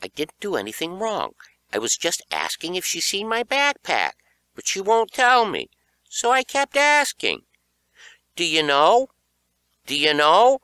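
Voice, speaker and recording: A man's voice: high voice, big pitch swings, measured pace, sharp tone, smooth, no echo, pitched above the performer's own voice, exaggerated voice. A man voicing a smart-mouthed, whiny young schoolboy in a children's audiobook. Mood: whiny, defensive. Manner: cheeky, whiny, defensive.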